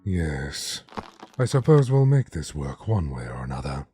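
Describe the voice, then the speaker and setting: A man's voice: extremely low pitch, big pitch swings, unhurried, warm tone, full character voice. A man playing an obsessive, brooding skeleton scientist in a dramatic comic dub, with precise, formal diction. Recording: music behind the voice.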